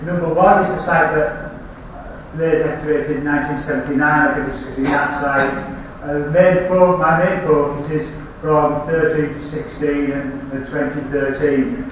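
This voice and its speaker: A man's voice: a little high, slight background noise. A man with a Yorkshire accent giving a rambling lecture on his slides, with wry asides and frequent restarts, recorded from a distance in a lecture hall. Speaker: archaeology lecturer. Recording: very echoey hall, speaker off-mic.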